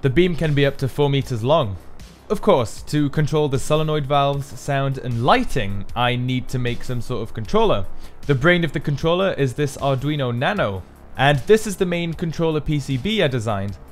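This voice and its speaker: Male maker, mid-pitched, scripted explainer narration for a build video, music underneath. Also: expressive, moderate pace.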